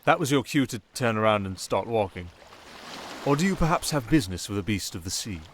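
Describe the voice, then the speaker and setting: A man with a British accent playing a sardonic, softly dangerous male siren in an ASMR roleplay, with dry, theatrical sarcasm. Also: on the low side, expressive, fairly quick, a little hiss or hum, close to an everyday voice. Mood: sardonic, wary.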